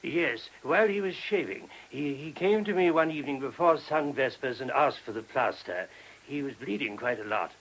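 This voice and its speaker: An actor in a radio play voicing a gentle, earnest monk who explains things courteously and a little hesitantly. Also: male voice, medium pitch, expressive, moderate pace, low-quality recording. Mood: hesitant, helpful. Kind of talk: radio drama acting. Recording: hissy old radio recording.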